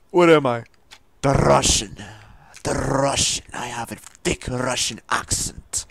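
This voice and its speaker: A man riffs on a video game as he plays it, joking and laughing at himself. His voice sits in a middling register and lurches from loud excited squeals down to quiet mumbles. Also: medium pitch, slow, gravelly, breathy edge. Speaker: gameplay streamer. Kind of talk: casual let's-play commentary.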